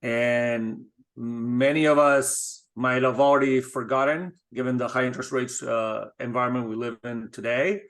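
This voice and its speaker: A middle-aged man from Spain, a guest in an interview, talking in a measured, thoughtful way with frequent restarts. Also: mid-range voice, steady tone, a bit bright. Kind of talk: casual interview talk.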